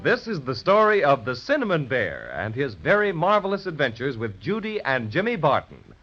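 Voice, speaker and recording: Animated 1930s radio storyteller, a man. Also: fairly high pitch, normal speed, faint music behind. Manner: theatrical, storyteller delivery. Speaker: announcer and narrator. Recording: dull 1930s radio recording.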